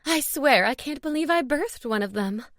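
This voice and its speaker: A woman's voice: high voice, expressive, normal speed, a bit bright, dry recording, clean studio sound, pitched above the performer's own voice. A woman voicing an exasperated but affectionate older mother in an audiobook, grumbling fondly. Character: exasperated, fond older mother, gossiping friend. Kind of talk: audiobook character dialogue.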